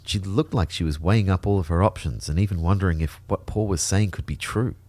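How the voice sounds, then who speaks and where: A low male voice in a dry, close recording, an anxious everyman telling his sci-fi adventure. He narrates in the first person with wry understatement, as in a scripted audio drama. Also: a little fast, darkish tone, dry recording. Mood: wry, uneasy. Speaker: narrator and lead actor.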